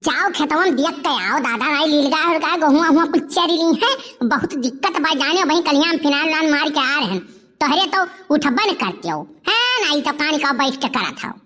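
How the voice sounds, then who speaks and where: Woman acting as cartoon village wife, very high-pitched, Awadhi accent, sharp-tongued, scolding, complaining. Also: animated, normal speed. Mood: nagging. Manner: nagging, complaining. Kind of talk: comedy cartoon dialogue.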